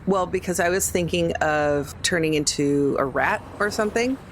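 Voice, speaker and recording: A woman's voice: lowish voice, normal speed, slight background noise. A woman talking casually as a player in a tabletop game.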